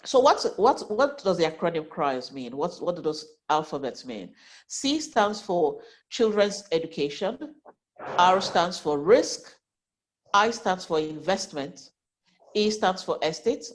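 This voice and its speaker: A woman in her forties, a financial planner with a heavy cold and a nasal voice, teaching an online class in an earnest way that often restarts words. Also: low voice. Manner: earnest, repeats and restarts words while explaining. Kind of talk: online class, giving tips.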